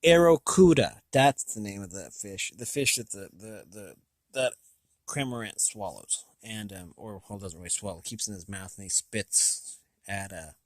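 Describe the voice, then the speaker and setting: An American man hosting a casual, unscripted review, thinking aloud in a halting, rambling way with a slightly raspy voice. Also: lowish voice, no room sound. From United States. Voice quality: slightly raspy from long talking. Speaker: video host.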